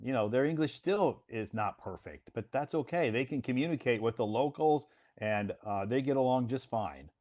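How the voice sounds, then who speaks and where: An American man hosting a casual English conversation lesson, talking in a relaxed, reassuring way and often repeating himself. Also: medium pitch, moderate pace, few pauses. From United States. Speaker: English teacher and host.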